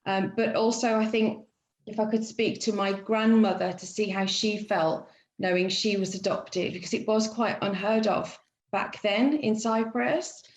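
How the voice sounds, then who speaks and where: A woman guest speaking thoughtfully in a podcast chat, pausing often with "um" as she gathers her thoughts. Her mid-pitched voice carries a clear room echo. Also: steady tone. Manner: reflective, hesitant, many fillers. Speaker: student guest.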